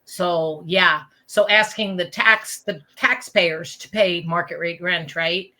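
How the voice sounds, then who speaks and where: Emphatic woman with a bright, lowish voice. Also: slow pace, poor audio. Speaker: interview guest, open space campaigner. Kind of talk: conversational interview answers.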